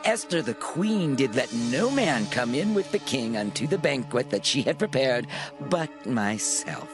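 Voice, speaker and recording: An actor playing a proud, scheming royal minister in a dramatized scripture recording, speaking in a declamatory, boastful way. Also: male voice, medium pitch, very animated, measured pace. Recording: dry studio voice with faint music.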